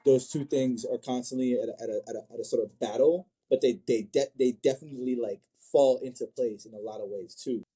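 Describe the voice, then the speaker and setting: A man from Africa chatting casually as an interview guest, thinking aloud in a halting, word-repeating way. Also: medium pitch, dark tone, slightly rough. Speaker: manga creator, podcast guest.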